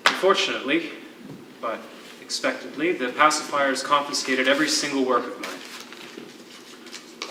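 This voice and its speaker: An actor playing a grieving, defeated imprisoned poet in a stage drama, speaking in deliberate, drawn-out phrases with dramatic pauses. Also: male voice, on the high side. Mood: bitter, rueful. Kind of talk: theatrical stage acting, dramatic monologue. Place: theatre stage, miked from a distance. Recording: echoey, noisy stage recording from far off.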